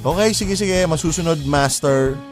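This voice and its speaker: A man playing an eager, jokey male student buddy in a radio drama, animated, with a Filipino accent. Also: fairly high pitch, normal speed, natural voice. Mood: obliging. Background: guitar music.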